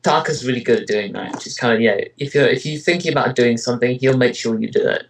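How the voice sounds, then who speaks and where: Man, medium-pitched voice, casual interviewee, hesitant and rambling, frequent filler phrases. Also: fast talker, gravelly, a little hiss or hum, poor audio. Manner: hesitant, rambling delivery with frequent "you know" and "kind of". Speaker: interviewee, amateur voice actor and web parody creator.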